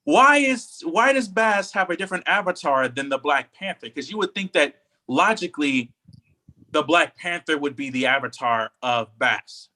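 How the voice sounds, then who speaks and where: Bright-voiced man chatting casually about opinions. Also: a little high, moderate pace, low-quality recording. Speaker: podcast co-host. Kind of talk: casual pop culture chat.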